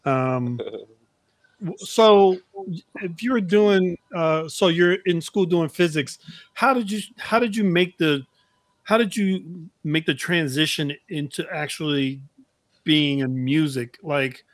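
A man's voice: fairly high pitch, takes it slowly, no room sound. A middle-aged man interviewing a guest in casual conversation over a video call. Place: indoor room, video call.

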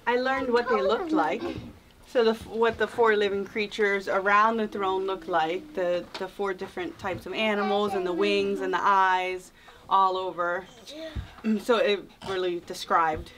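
A mother answering questions during family Bible time, calm and thoughtful. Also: medium pitch, a little slow, crowd noise. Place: small home room, indoors.

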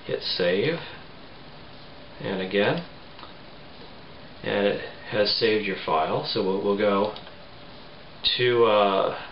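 A man in his forties giving a casual step-by-step tutorial in a halting, plain-spoken way. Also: on the low side. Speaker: tutorial presenter. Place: small home room, indoors. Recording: roomy, muffled, faint background noise.

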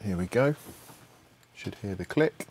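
A man's voice: fairly low pitch, lively intonation, measured pace, darkish tone, a little hiss or hum. A middle-aged man presenting an unscripted audio production tutorial, thinking aloud in a somewhat hesitant way. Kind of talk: unscripted screen-share tutorial.

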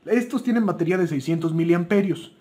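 High-pitched Mexican man chatting casually. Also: a little fast, warm tone. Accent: Mexican Spanish. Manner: chatty, informal, self-correcting. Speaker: video presenter giving buying tips. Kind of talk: casual unscripted product talk.

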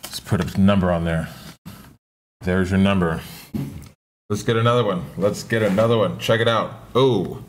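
A man's voice: sits low, moderate pace, long pauses, a little hiss or hum. A man hosting a live-stream auction, repeating himself and hyping each item in an upbeat patter.

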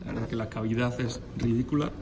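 A man's voice: medium pitch, fairly even intonation, fast talker. A man walking around a motorcycle in an indoor workshop, explaining it to the camera filmed on a handheld phone. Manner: explanatory, shifts to raised voice over a running engine. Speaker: motorcycle workshop owner showing a bike for sale. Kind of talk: hands-on walkaround video. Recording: noisy room with engine sound.

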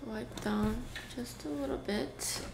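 A woman's voice: on the high side, takes it slowly. A young woman casually chatting and thinking aloud as she fries, then eats, on her mukbang show. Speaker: mukbang host. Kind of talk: casual chat while cooking and eating. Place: small home kitchen room, indoors. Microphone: desk microphone on stand. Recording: echoey room with clear background noise. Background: cooking and dish clatter.

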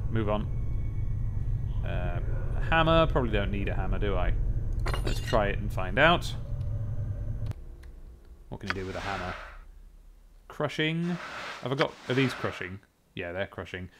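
A man's voice: fairly low pitch, expressive, normal speed, pauses often, noisy background. A young British man giving casual, chatty gameplay commentary in a wry manner, thinking aloud close to a desk microphone. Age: young adult. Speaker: let's play host. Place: small room at a desk. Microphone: desk microphone with pop filter, close. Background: game music.

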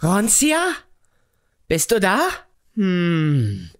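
A woman's voice: fairly low pitch, wildly swooping pitch, extremely slow, full character voice. A woman playing a puzzled visitor in an audio drama. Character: puzzled visitor calling at a castle gate. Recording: loud noise over distant voice.

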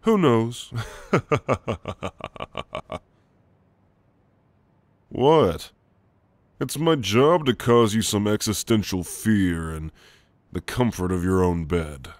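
Gentle, playful shadow demon played by a man for ASMR roleplay: low-pitched, unhurried, soft and reassuring. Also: dry recording. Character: gentle, teasing male sleep paralysis shadow demon. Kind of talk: ASMR roleplay, intimate and slow. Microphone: close, dry microphone.

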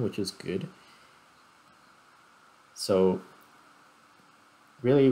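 A man giving casual gameplay commentary as a video host, thinking aloud as he explains things. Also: medium pitch.

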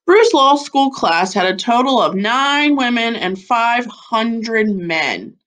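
A woman in her thirties reading a children's picture book aloud like a schoolteacher, with deliberate phrasing. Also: a little high, animated, fairly bright tone. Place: living room at home, seated on a couch. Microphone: webcam or laptop mic at about arm's length.

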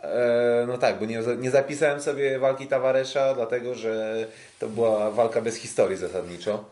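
A man hosting a casual sports podcast, talking in a hesitant, halting way. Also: medium pitch, steady tone, darkish tone. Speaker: podcast host. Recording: roomy, echoing sound.

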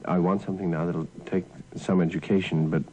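An actor playing an earnest, nervous son in a soap opera, halting and stammering as he speaks to his mother. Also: deep voice, steady tone, warm tone, breathy edge, low-quality recording. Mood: earnest, hesitant. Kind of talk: soap opera acting, outtake.

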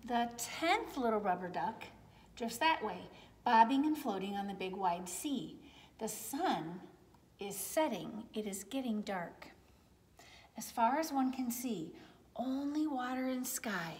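A woman in her forties with a fairly high voice reads aloud for a children's story time. She phrases things deliberately so that small listeners can follow. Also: lively intonation, a little mellow. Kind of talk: read-aloud picture book storytelling. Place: large indoor room with high wooden ceiling.

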